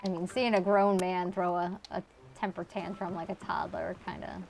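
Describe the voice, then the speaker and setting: A woman's voice: fairly low pitch, level tone, normal speed, creaky, slight background noise. A woman with a Connecticut accent, chatting casually with her viewers as she streams a game and answers chat. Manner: chatty, reads and answers chat, many fillers. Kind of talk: casual live-stream chat while gaming.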